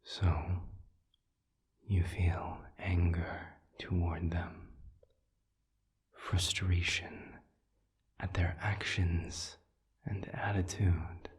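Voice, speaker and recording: A man voicing a calm, wise demon counselor in an intimate audio roleplay, soft and breathy, speaking in measured phrases with deliberate pauses. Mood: soothing, earnest. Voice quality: breathy, whispery, very low.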